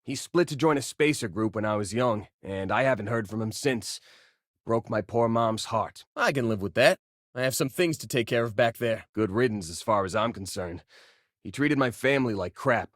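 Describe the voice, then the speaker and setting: An actor playing an easygoing young space miner in a video game, with a casual, joking way of talking. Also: male voice, lowish voice, expressive, moderate pace, no room sound, studio quality. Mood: wistful, subdued. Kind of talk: video game companion voice lines.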